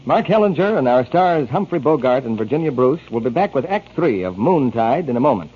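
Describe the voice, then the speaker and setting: A man's voice: medium pitch, very animated, brisk, faint music behind. A man announcing in the scripted style of 1940s radio. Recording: muffled 1940s radio broadcast.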